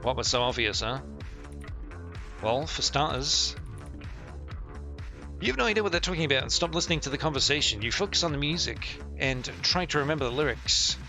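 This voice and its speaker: A man's voice: mid-range voice, expressive, brisk, pauses often, over music. A man giving casual, sweary and sardonic commentary as he plays a game and reads its text aloud. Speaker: game streamer.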